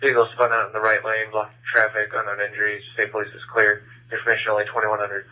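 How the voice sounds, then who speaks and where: A man's voice: fairly low pitch, monotone, fast. A man working as a police dispatcher, reading a matter-of-fact incident bulletin over the radio. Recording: muffled police radio scanner transmission.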